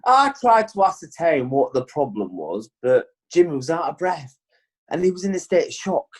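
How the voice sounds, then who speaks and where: Earnest detective witness acted, comic courtroom drama; formal yet melodramatic, highly animated mid-pitched voice. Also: moderate pace. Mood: earnest, dramatic. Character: earnest police detective giving dramatic evidence. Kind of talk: comic courtroom play acting.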